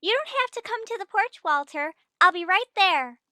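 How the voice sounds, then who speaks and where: A perky, polite teenage daughter in a vintage radio comedy. Her voice is very high and bright, with lively swings in pitch. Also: moderate pace, dry recording, studio quality, heavily acted. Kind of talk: 1950s radio sitcom acting.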